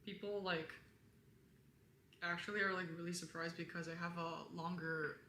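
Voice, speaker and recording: Bright-voiced young woman in a roomy space. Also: fairly even intonation, moderate pace. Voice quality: lower-toned voice. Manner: candid, rambling, frequent "like". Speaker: live stream host. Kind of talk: casual live stream chat with viewers. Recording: roomy echo, faint background noise.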